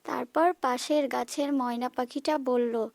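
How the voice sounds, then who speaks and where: A woman's voice: sits high, steady tone, measured pace, vocal fry, darkish tone, no echo. A woman voicing a worried, helpless mother bird in a cartoon, anxious and motherly. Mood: weary worry. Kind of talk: Bengali moral cartoon dialogue.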